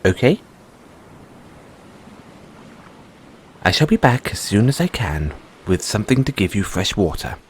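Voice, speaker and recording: A man playing a proud, secretive merman prince in an audio roleplay, speaking formally and deliberately, courteous but wary of humans. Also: lowish voice, very animated, slight background noise. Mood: businesslike, courteous. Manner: formal, deliberate, measured phrasing with dramatic pauses. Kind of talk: scripted audio roleplay addressed to the listener.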